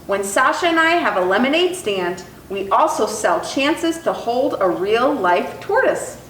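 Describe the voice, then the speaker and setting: A woman reading a children's picture book aloud in an expressive, animated storytelling style. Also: on the high side, unhurried. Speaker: storytime reader. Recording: roomy echo, distant mic, faint noise.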